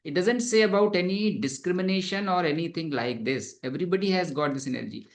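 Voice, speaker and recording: A man giving an academic webinar lecture over a video call, explaining earnestly and haltingly, with many fillers. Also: sits high.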